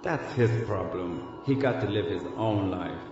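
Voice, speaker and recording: A man's voice: sits low, lively intonation, unhurried. A man acting in an audio drama as a dead young street tough, a defensive, regretful big brother talking in slang with Spanish touches. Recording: muffled, echoey lo-fi audio drama recording. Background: loud background music.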